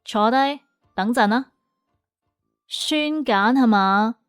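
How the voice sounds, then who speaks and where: Woman performing a scathing female interviewer, high voice, contemptuous lecturing, audio drama, dry sound. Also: slow, smooth, no room sound, soft background music. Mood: scornful. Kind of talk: Cantonese audio drama acting.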